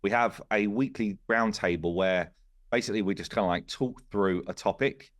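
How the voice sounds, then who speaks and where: A man speaking as a game studio co-founder in a casual podcast conversation, telling things in an animated way and voicing quoted remarks. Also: fairly low pitch, normal speed, no room sound.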